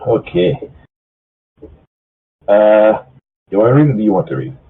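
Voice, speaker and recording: Husky man on a phone-like voice call. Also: on the low side, animated, normal speed. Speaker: amateur fan fiction reader. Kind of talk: casual chat and group story reading. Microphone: online voice call. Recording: muffled, phone-like online voice call.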